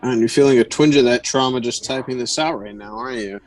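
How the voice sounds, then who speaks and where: Casting: male actor for a prying, needling friend figure in an audio drama, mid-pitched, conversational, quietly mocking. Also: fast talker, soft background music, lightly acted. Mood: pressing, impatient. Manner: needling, casually judgmental. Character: probing, mocking inner voice posing as a friend.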